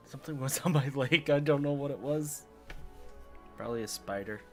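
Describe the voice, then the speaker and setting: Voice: mid-range voice, normal speed. A game streamer giving casual reacting commentary while playing, echoing the characters' lines in offhand asides. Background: game music.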